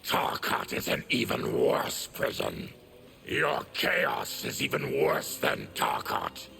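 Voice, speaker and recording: An actor voicing an embittered, diseased monstrous warrior in a video game, with a grim, weighty growl. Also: male voice, fairly low pitch, wildly swooping pitch, sharp tone, slight background noise, full character voice. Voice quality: gravelly growl. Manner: slow, grim, weighty delivery. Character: embittered diseased monstrous male warrior, former soldier and merchant. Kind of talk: video game character dialogue.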